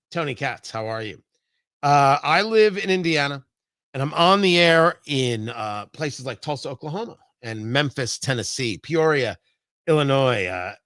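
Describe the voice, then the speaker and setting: American man, political talk radio host, bright forceful voice, close dry sound, emphatic, theatrical delivery. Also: mid-range voice, dry recording. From New York and New Jersey, United States. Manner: emphatic, theatrical, repeats phrases for effect. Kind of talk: opinionated political commentary monologue.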